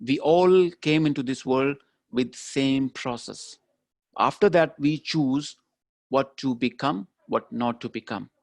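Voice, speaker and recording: A man's voice: mid-range voice, slow pace, gravelly, no echo. A man giving a motivational keynote speech, talking emphatically and repeating his key phrases. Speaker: keynote speaker.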